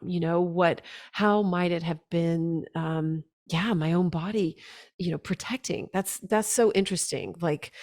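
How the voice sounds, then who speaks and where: A woman's voice: fairly low pitch, gravelly, darkish tone. A woman hosting a podcast interview in a chatty, conversational way, often tagging her sentences with "you know" and "right?". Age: adult parent.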